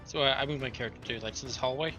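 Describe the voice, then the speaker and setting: A man's voice: mid-range voice, racing, lo-fi sound, music in the background. A man talking casually as a player in a tabletop roleplaying game.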